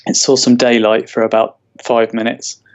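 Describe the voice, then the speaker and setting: A man co-hosting a podcast, easygoing and wry, giving relaxed answers in casual chat. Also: medium pitch, normal speed. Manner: easygoing, wry, short replies. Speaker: podcast co-host.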